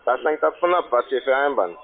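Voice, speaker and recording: A man working as a call centre agent, making a halting sales pitch with repeated words over the phone. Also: sing-song. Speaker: call centre agent selling trading investments. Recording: tinny narrowband phone line.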